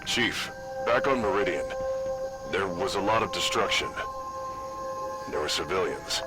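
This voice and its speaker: Deep-voiced, lively wary soldier. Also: male voice, unhurried. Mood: wary, questioning. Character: wary, blunt soldier squadmate. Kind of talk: cinematic video game acting. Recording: loud game music behind.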